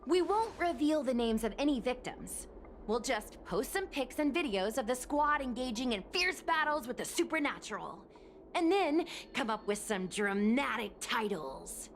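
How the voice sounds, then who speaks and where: A woman voicing an upbeat, excitable young woman who streams online, chatty and eager in a game cutscene. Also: a little high, moderate pace, slight background noise. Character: bubbly young woman street performer turned online streamer. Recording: game cutscene with music behind.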